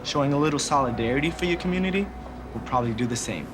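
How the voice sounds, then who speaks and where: Actor as brisk, assertive male activist. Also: mid-range voice, lively intonation, over music, natural voice. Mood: insistent, confident. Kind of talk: film dialogue.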